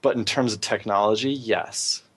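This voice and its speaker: A man's voice: on the low side, normal speed, a bit bright, slightly rough. A man co-hosting a casual gaming discussion, rephrasing his thoughts as he goes. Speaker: podcast co-host.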